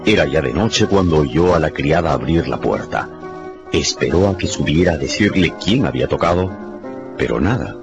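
A man's voice: very deep voice, lively intonation, normal speed. A man narrating a radio drama in a dramatic, scripted storytelling style. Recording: slightly dull sound, music behind.

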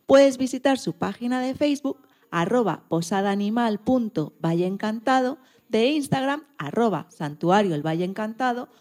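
A woman with a mid-pitched, soft and mellow voice reads scripted radio material. She speaks as the host of the program. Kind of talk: scripted radio presenting and reading.

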